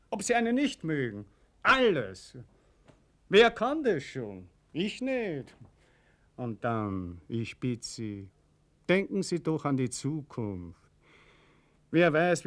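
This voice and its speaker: Radio play: knowing, insistent asylum insider, fairly high voice, very varied melody, arguing emphatically. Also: male voice. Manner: persuasive, building to emphatic lists. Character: insistent asylum confidant, shrewd and persuasive. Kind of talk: German radio play acting.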